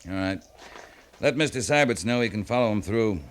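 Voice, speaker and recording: An actor playing a stern, shrewd US cavalry captain with a commanding, measured manner, in a 1950s radio western. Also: male voice, fairly low pitch, expressive, slight background noise, lightly acted. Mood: subdued, resolute. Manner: commanding, measured, pointed questioning.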